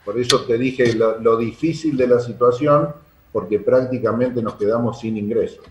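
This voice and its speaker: Man, Argentine accent, interview guest, earnest halting explanations, muffled audio, strong room echo. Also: medium pitch, steady tone, a little mellow. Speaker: sports club secretary, interview guest.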